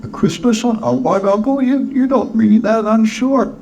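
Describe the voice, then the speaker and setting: A man plays a cheerful young nephew in a storybook, pitched well up high. He is earnest, eager to make peace and trips over his words. There is some noise in the recording. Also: lively intonation, moderate pace, highs cut off. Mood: cheerful protest. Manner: bright, pleading, stammering.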